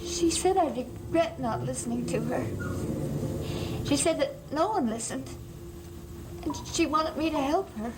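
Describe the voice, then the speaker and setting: A woman's voice: sits high, expressive, unhurried, pauses often, very noisy. An actress playing a wounded, lonely and anxious young woman in a gothic soap opera, with music behind her. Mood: shocked, troubled. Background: dramatic music behind the voice.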